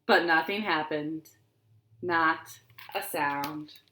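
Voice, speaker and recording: A woman reading stories and rhymes aloud to young children in an expressive, deliberate way, recorded in a living room. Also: sits low. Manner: expressive, deliberate, asks the listeners questions. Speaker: children's librarian storyteller. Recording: roomy, echoing living-room sound.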